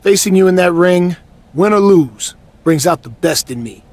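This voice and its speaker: An actor playing a proud, straight-talking male rival wrestler in a video game, with a raspy voice and an intense manner. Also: sits high, animated, normal speed. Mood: respectful. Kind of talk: scripted video game cutscene acting.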